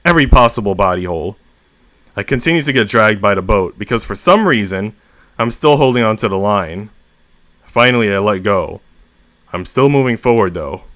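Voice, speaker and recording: A man with a fairly low voice reads short stories aloud in the first person. He leans into the emphasis like a storyteller hosting a read-along. The sound is dry and close to the mic. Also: fairly bright tone, no room sound. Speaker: story reader and host.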